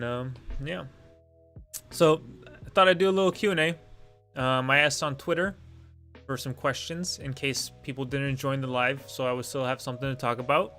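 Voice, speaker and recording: A man's voice: medium pitch, sharp tone. A young man whose first language is Lithuanian, hosting a casual live-stream Q and A, rambling and self-deprecating with many fillers.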